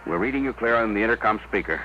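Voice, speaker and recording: An actor playing a practical, authoritative spaceship leader who gives brisk orders in a 1950s radio drama. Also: male voice, on the low side, animated, over loud music, lightly acted. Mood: firm, instructive. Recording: dull, faintly noisy old radio recording.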